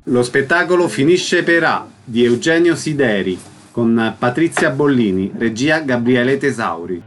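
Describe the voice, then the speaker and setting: A man's voice: mid-range voice, lively intonation, a little slow, a bit bright, faint music behind. A man reading a scripted announcement as a radio presenter. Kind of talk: scripted announcement of theatre credits.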